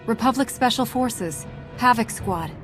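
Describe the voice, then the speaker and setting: A woman's voice: fairly high pitch, expressive, unhurried. A woman playing a commanding, level-headed heroine with dry wit in a video game. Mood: firm. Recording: music behind the voice.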